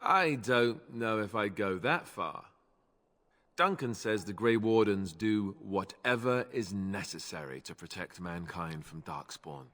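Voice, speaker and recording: A man voicing an earnest, self-deprecating young knightly warrior in a video game, explaining things in a measured way. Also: on the low side, a bit bright, big-room sound.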